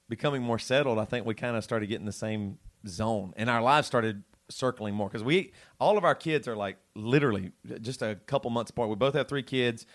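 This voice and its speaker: Man, late thirties, lead singer, live podcast co-host, rambling, jokey, middle pitch. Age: 30s. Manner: rambling, self-interrupting and jokey. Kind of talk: casual live podcast chat.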